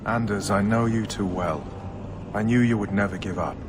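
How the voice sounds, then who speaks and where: An actor voicing a broken captive mage, solemn and sorrowful, in a dramatic fantasy video game. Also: male voice, lowish voice, normal speed, noisy background, natural voice. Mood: flat, controlled. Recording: music behind the voice.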